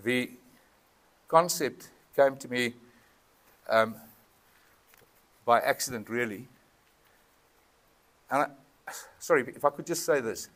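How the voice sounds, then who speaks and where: A South African man opens a community meeting in a town hall, speaking through a PA microphone. His delivery is earnest and halting, with frequent ums and a mid-range voice. He drifts between Afrikaans and English as he talks. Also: mid-range voice, a bit bright. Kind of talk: public address at a town meeting. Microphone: PA microphone with feedback.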